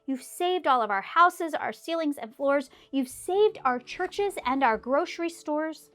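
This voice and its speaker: A woman's voice: high voice, expressive, measured pace, fairly bright tone, voice pushed up. A woman voicing a tiny, cheerful male mayor who pipes up eagerly and gratefully.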